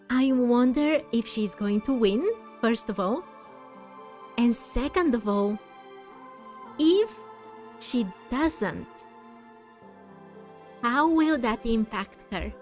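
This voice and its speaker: A woman live-streaming a game, chatting casually and gently with her viewers in a slightly halting, apologetic way. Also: fairly high pitch.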